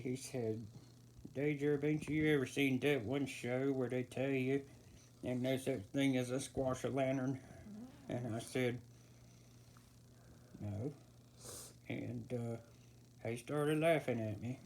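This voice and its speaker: A man's voice: mid-range voice, close to an everyday voice. A man voicing a rambling, easily flustered old folksy storyteller who halts and repeats himself in a comic tall tale. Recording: noisy home recording with clicks.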